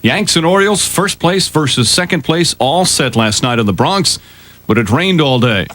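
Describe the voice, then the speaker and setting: A man's voice: a little high, animated, moderate pace, fairly bright tone. An American man delivering a scripted sports report on morning radio.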